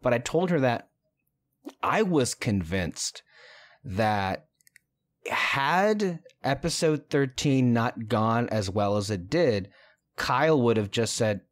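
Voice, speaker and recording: A man's voice: mid-range voice, animated, slow pace. A man co-hosting a podcast, reflecting aloud in casual chat and often restarting his sentences. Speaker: podcast co-host.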